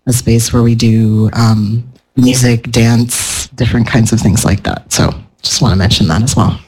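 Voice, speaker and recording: A man's voice: medium pitch, a little mellow, low-quality recording. A man speaking as a panelist to a live audience, thoughtful and rambling, often restarting his sentences. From United States. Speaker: panelist, somatic therapist. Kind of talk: casual panel talk. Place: event room with a live audience.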